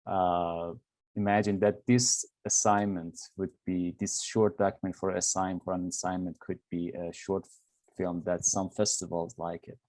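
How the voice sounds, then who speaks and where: A young man from Iran with an Iranian accent, Persian his first language, answering interview questions in a halting way, often pausing with fillers and restarting sentences. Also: sits low, darkish tone, no room sound. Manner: halting, searching for words with many fillers and restarts. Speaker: graduate film student.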